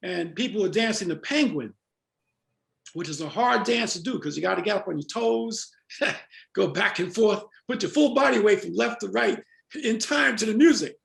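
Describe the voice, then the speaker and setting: A man's voice: high-pitched, lively intonation, moderate pace, vocal fry, a bit bright, roomy sound. An older man with an American accent, reminiscing and explaining in a conversational interview. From Jamaica-born, raised in New York. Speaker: interview guest, former club promoter.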